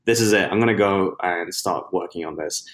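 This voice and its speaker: A man's voice: low voice, a bit bright. A young man from London with a British accent, chatting upbeat as a podcast guest about his business start. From London, England. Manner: chatty and upbeat, with quick laughs. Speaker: podcast guest, Amazon seller. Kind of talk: casual interview chat.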